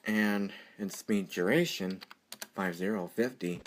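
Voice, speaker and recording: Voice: low-pitched, measured pace, a little hiss or hum. A tutorial presenter talking off the cuff over a screen recording, rambling and easily amused. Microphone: computer microphone during screen recording.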